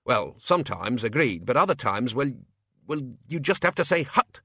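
Storybook wizard dean, male reader: self-important, easily flustered, lively intonation. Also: medium pitch, moderate pace, no room sound, clean studio sound, exaggerated voice. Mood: flustered explaining. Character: excitable, muddled male wizard dean playing soldier.

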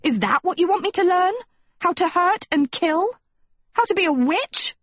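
A woman's voice: extremely high pitch, animated, moderate pace, no room sound, voice pushed up. A woman voicing a dramatic, indignant and pleading teenage girl in a storybook, with theatrical emphasis. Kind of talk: acted storybook dialogue.

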